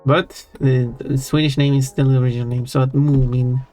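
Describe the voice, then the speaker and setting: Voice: mid-range voice, little pitch movement, moderate pace, warm tone. A live streamer chatting casually while drawing, rambling and correcting themself with small giggles. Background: faint background music.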